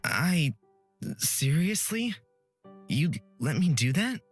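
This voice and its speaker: A woman's voice: deep voice, very animated, takes it slowly, husky, voice pushed down, full character voice. A woman voicing a reserved, earnest and reassuring young male hero in a visual novel. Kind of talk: visual novel character reading. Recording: background game music.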